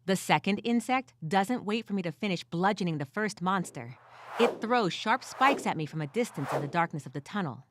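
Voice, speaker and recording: A woman's voice: medium pitch, animated, moderate pace, background noise, natural voice. A woman voicing a weary but gritty furred female adventurer who tells her story in the first person with wry asides, in an audio drama style. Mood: tense, urgent.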